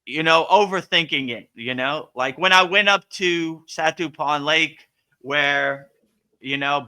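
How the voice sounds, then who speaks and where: Bright-voiced man teaching in easygoing tones. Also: mid-range voice. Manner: relaxed, rambling, many fillers. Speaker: spiritual teacher hosting a live Q&A. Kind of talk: casual spiritual Q&A.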